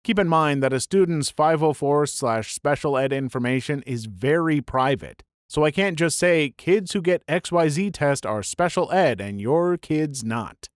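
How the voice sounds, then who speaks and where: Man, story narrator, slightly high voice, dead dry sound, brisk even reading. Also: dry recording. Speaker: narrator reading online posts.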